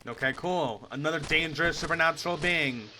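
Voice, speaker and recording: A man's voice: fairly high pitch, a little fast, sharp tone, slight background noise, low-quality recording. A young man giving casual reaction commentary as a streamer, joking, swearing and musing aloud.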